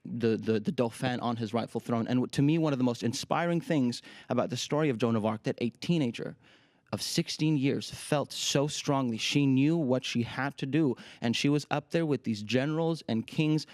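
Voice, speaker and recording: A man's voice: mid-range voice, moderate pace, a little mellow, dry recording. A man from Ukraine, a native Russian speaker, talking enthusiastically as an opera company's artistic director in a TV interview. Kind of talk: TV interview chat.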